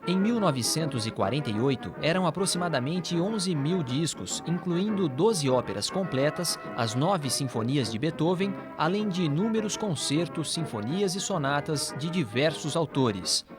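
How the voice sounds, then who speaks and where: A man's voice: on the high side, lively intonation, normal speed, few pauses. A man with a Brazilian accent reads scripted documentary narration. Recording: music playing behind the voice. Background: background music.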